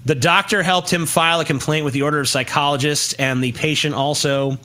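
A man's voice: fairly high pitch, steady tone, a little fast, sharp tone. A man co-hosting a podcast, bantering casually with profanity and reading news aloud in a flippant, crude way. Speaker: podcast co-host.

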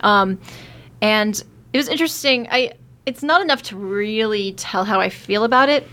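A woman's voice: mid-range voice, normal speed, sharp tone. A woman on a podcast, chatting casually and recounting an event with frequent fillers. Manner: chatty storytelling, many fillers, laughs easily. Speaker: podcast guest. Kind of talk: casual chat.

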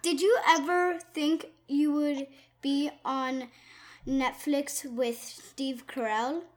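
A woman's voice: high-pitched, steady tone, slow pace. A woman interviewer asking short, simple questions in a casual interview.

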